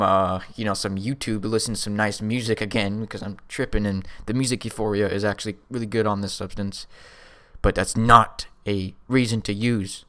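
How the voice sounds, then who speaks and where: A man's voice: fairly low pitch, a bit bright, smooth. A young man casually telling a first-person story in a rambling, slangy way, often with a chuckle. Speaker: storyteller vlogger.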